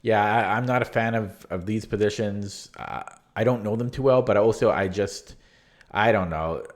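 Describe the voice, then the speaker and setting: A man's voice: fairly low pitch, normal speed. A man, losing his voice, hesitantly and candidly talking through a chess game he played, reading from his notes. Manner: hesitant, many restarts, relaxed and candid. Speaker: chess channel host reviewing his own game. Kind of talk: casual game analysis from notes.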